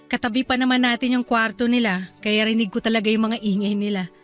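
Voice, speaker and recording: An actress playing a gentle, caring young wife in a Filipino radio drama, speaking softly and intimately with a Filipino accent. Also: female voice, a little high, steady tone, fast talker, faint music behind, natural voice. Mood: mildly complaining.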